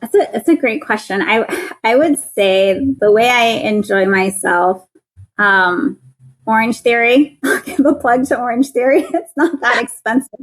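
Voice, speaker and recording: A woman's voice: on the high side, measured pace. A woman in her thirties with a young-sounding voice, a guest in a casual interview, talking earnestly with frequent laughs and restarts. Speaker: guest, patent attorney.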